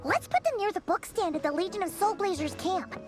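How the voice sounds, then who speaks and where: Tiny chirpy fairy sidekick, very high squeaky voice, bouncy swings, music under it. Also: female voice, sing-song, brisk. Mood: planning. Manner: bubbly, upbeat. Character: small floating fairy companion, perky and chatty. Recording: game audio with music behind.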